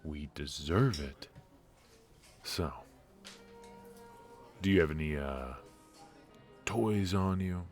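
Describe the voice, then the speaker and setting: A man's voice: a bass voice, slow, pauses often, soft background music, heavily acted. An actor playing a charismatic, injured former mafia man in an ASMR roleplay, teasing and flirtatious while he admits to being stiff and worn out. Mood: confiding.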